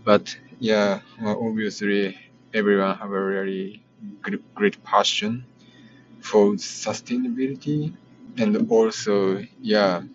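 Tired Japanese-accented man, slow and hesitant. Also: lowish voice, steady tone, faint music behind. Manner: hesitant, many fillers and self-corrections. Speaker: vlogger. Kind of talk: casual spoken diary. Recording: muffled lo-fi with background noise.

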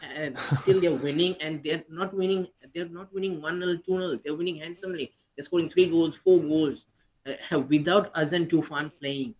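A man's voice: a little high, fairly even intonation, normal speed, poor audio. A man co-hosting a casual podcast, rambling through analysis with many restarts and "uh"s. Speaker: podcast co-host.

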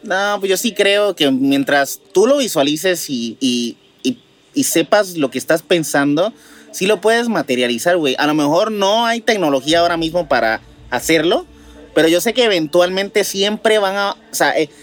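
A Mexican man co-hosting a casual podcast debate, haltingly repeating words as he reasons things out. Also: high voice, lively intonation. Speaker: podcast co-host.

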